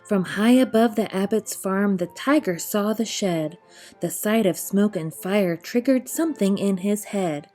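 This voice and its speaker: A woman narrates a children's picture book in rhyming verse. Her voice is mellow and mid-pitched, her delivery expressive and rhythmically measured. Soft music plays underneath. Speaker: storyteller reading aloud.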